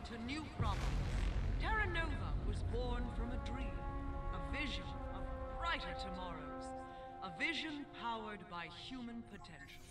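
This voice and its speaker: A man's voice: very high voice, big pitch swings, moderate pace, over loud music. A man voicing a slick corporate propaganda announcer in a video game, with grand, sweeping delivery. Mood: grandiose.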